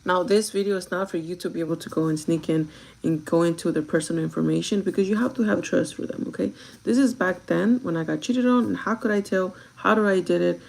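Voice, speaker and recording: A young woman with a gravelly, groggy voice chats casually and directly to her viewers, giving advice in an informal vlog style. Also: on the low side, quick pace, darkish tone, low-quality recording. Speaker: vlogger chatting while doing makeup.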